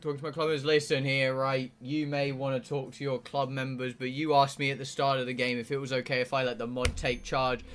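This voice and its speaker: A man's voice: medium pitch, flat delivery, a little fast, hardly any pauses, a bit bright, soft background music. A British man live streaming a game, rambling casually to his viewers in a blunt, sweary, joking way. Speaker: live streamer playing a visual novel.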